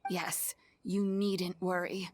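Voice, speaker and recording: An actress playing a kindly, elderly granny in a video game, fond and reassuring. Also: female voice, lowish voice, flat delivery, slow, a little hiss or hum. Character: kindly elderly granny who raised a young oni. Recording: game dialogue with music underneath.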